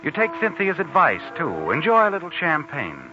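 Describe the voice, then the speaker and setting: An actor playing an ominous, insinuating narrator of a 1940s radio suspense drama, speaking straight to the protagonist. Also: male voice, fairly high pitch, huge pitch swings, normal speed, muffled recording. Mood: knowing, ominous. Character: knowing, ominous male narrator addressing the protagonist. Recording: thin old radio recording with music.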